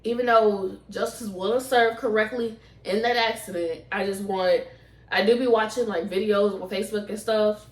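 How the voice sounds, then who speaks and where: A woman with a mid-pitched voice tells a story in a casual, rambling vlog style. She speaks in slangy phrases and repeats herself for emphasis. The sound is echoey, with the microphone some way off. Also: steady tone, normal speed. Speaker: vlogger. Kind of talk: casual storytime chat to camera. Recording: roomy echo, distant microphone.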